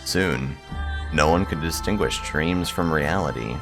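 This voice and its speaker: A man's voice: extremely low pitch, unhurried. A man hosting a gaming video, talking casually and reading visual novel text aloud. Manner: blunt, crude, sweary joking. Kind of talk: casual commentary and read-aloud narration of game text. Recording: music playing behind the voice.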